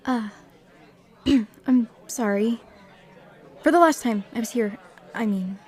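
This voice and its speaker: A woman's voice: fairly high pitch, slow, darkish tone, a faint crowd. A young woman playing a shy, apologetic female hospital volunteer in an audio drama, with a hesitant manner. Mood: flustered, shy. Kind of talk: audio drama acting with reflective first-person narration.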